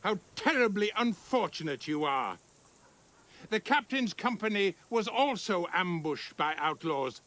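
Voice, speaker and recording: Dismissive castle officer, bright forceful voice, animated pitch, condescending, game cutscene. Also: male voice, a little slow, heavily acted. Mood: dismissive, sardonic. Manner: condescending, sardonic. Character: stern, dismissive, sarcastic castle commander. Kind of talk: cinematic video game acting.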